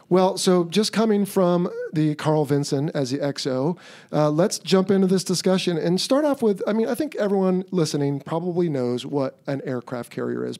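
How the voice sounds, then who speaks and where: A man's voice: a little high, darkish tone, dry recording. A middle-aged man hosting a podcast, a retired Navy pilot, chatting in a friendly, upbeat way with his guest. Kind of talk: casual interview hosting.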